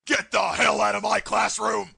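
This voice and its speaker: A man playing a menacing, sarcastic exam proctor in a comic anime parody, with a raspy, barking, theatrical delivery. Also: high-pitched, flat delivery, moderate pace, bright, forceful tone, heavily acted. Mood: angry, bullying. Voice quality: gravelly, raspy. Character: menacing scarred male exam proctor, loud drill-sergeant bully.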